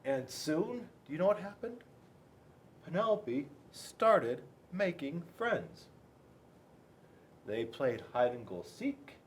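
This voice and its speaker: A man's voice: fairly high pitch, very animated, slow pace, long pauses, a little hiss or hum. A man reading a picture book aloud and chatting to children, playful and full of rhetorical questions. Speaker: school resource officer reading to children.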